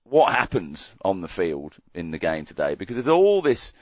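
Australian man ranting, low voice. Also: normal speed, no room sound, lo-fi sound. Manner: animated, mock-disgusted rants. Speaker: podcast co-host. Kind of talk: casual chat.

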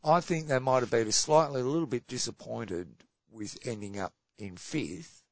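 A man with a slightly croaky voice runs through hockey scores in an easygoing podcast chat, his pitch sitting in a plain middle range. The sound is dry and a little dull, like a lo-fi home recording. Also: dry recording. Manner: brisk results rundown, trailing off quietly. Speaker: podcast co-host. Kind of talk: casual sports chat reading results.

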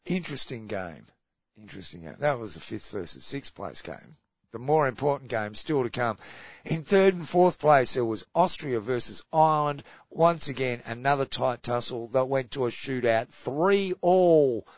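A man co-hosting a hockey podcast, running through match results in casual chat with a slightly croaky voice. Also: medium pitch, animated, dry recording, lo-fi sound. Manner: brisk results rundown, trailing off quietly. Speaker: podcast co-host.

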